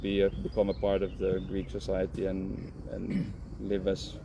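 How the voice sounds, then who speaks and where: Man, thirties, gravelly, flat delivery, frank and unhurried, noisy background. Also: deep voice. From Finland. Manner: calm, frank, thinks aloud with restarts. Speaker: professional footballer. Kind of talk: interview answers.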